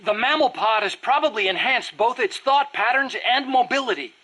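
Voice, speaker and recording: American game engineer, high-pitched, bright, nerdy, earnest, precise, explanatory. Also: male voice, animated, normal speed, exaggerated voice, low-quality recording. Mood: anxious, urgent. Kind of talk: video game dialogue acting.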